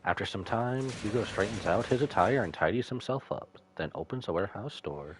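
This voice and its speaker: A man streaming a game, reacting and reading the story text aloud in an expressive way. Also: fairly low pitch, a little fast, background noise. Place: indoors, live stream with game music behind.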